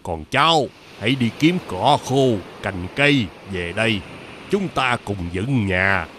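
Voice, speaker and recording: A man playing a kindly old mandarin in an animated fairy tale, gentle and deferential. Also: lowish voice, measured pace, noisy background. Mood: calm, practical. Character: kindly old court mandarin posing as a grandfather. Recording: background music under the voice.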